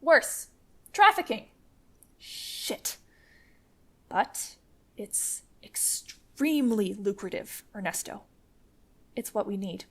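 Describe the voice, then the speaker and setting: A woman acting the fierce, defiant leader of an armed commune in a radio play, forceful and foul-mouthed. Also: mid-range voice, very animated, slow, long pauses, exaggerated voice. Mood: grim, insistent.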